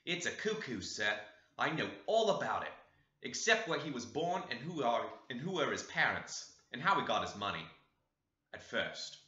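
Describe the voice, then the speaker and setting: A man's voice: medium pitch, very animated, moderate pace, bright, forceful tone. A man voicing a talkative, homely woman housekeeper who tells family history with chatty emphasis in an audiobook. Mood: frank, gossipy. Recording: roomy, echoey, slightly dull sound.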